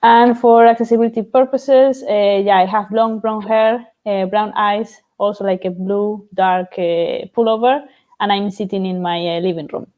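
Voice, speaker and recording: A woman, introducing herself from her living room on a video panel, brisk with frequent "uh"s. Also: medium pitch, fairly even intonation, warm tone. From Argentina and Spain. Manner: brisk, with filler "uh"s. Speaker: panelist, UX designer. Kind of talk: casual self-introduction.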